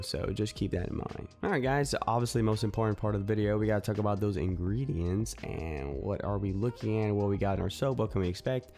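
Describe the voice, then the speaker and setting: A man's voice: low-pitched, a little mellow. A young man in casual talk to camera, reviewing a soap in an easygoing, informal way with lots of fillers, recorded in a small room on a desk mic. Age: young adult. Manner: chatty, informal, many fillers and asides. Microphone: desk microphone in front.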